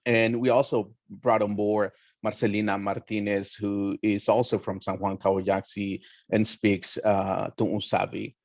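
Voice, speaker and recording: A young man from Oaxaca, Mexico, whose first language is Mixtec, giving an earnest, measured talk on a roundtable panel with frequent pauses. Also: lowish voice, flat delivery, gravelly, a little mellow, no room sound. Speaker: community educator and roundtable panelist. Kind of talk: roundtable presentation.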